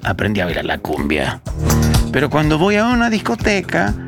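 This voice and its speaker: A man's voice: fairly high pitch, wildly swooping pitch, normal speed, gravelly. A man from El Salvador with a Salvadoran accent, hosting a casual talk show in an emphatic way, repeating phrases for stress. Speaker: host. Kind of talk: casual radio-style talk with co-hosts. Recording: music playing behind the voice. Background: background music.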